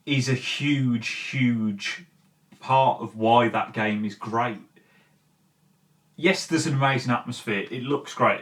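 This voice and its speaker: A man presents his opinions as a host would in a video essay. His medium-pitched voice is conversational and informal, with emphatic stresses and the odd mild swear. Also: fairly bright tone. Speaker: host of a film and games commentary show.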